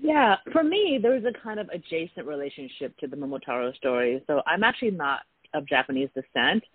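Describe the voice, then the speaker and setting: A man's voice: a little high, very animated, a little fast, husky, no echo. A man from Taiwan, a guest in a radio interview, talking thoughtfully in a rambling, casual way. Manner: thoughtful, rambling, with soft laughs. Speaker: guest artist. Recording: dry, phone-like line.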